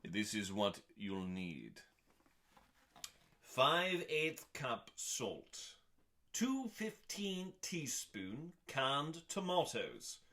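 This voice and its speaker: A man giving a dramatic, mock-serious scripted reading as a host, pompous, with long pauses and drawn-out emphasis on words. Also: mid-range voice, sing-song, pushed and bright. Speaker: host reading aloud from a cookbook.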